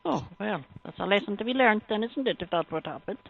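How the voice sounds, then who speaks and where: A woman's voice: mid-range voice, expressive, fast talker, creaky, slight background noise. A woman working as a helpline adviser, explaining things in a matter-of-fact way over the phone. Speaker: sexual health helpline adviser. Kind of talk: phone advice conversation. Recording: muffled, tinny phone line.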